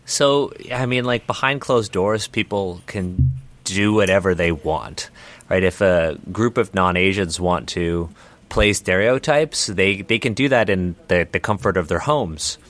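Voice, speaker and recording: Guy with a lowish voice, earnest and chatty, keeps restarting his sentences as he explains. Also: measured pace, a bit bright. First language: Chinese not first language. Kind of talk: conversational interview answers.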